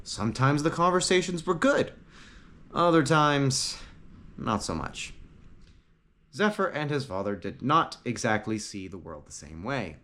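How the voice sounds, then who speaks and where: A man narrating a fantasy story from a script, with dramatic pauses and short emphatic phrases. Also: mid-range voice, lively intonation, pushed and bright. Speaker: audiobook narrator.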